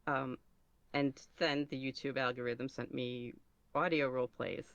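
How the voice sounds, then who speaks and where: Woman, gravelly vocal fry, casual interview chat, hesitant and self-deprecating, dry muffled sound. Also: rumbling low, steady tone, a little slow, no echo. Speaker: podcast guest, ASMR roleplay creator. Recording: dull, muffled close recording.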